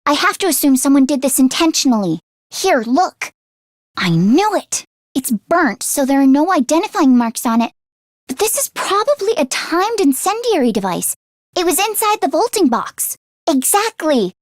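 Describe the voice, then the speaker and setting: A woman voicing an earnest, squeamish teenage schoolgirl mechanic in an anime game, expressive and quick to exclaim. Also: high-pitched, no echo, studio quality. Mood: earnest, explaining.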